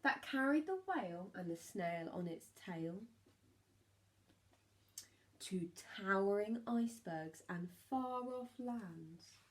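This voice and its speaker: A woman narrating a rhyming children's story like a primary teacher, expressive and emphatic on key words. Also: fairly high pitch.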